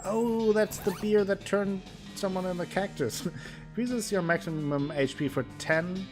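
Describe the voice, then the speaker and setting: A man streaming a game, reading its text aloud and joking between the lines. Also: measured pace. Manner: playful, reads narration with exaggerated pitch swings. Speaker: gameplay streamer. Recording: music playing underneath.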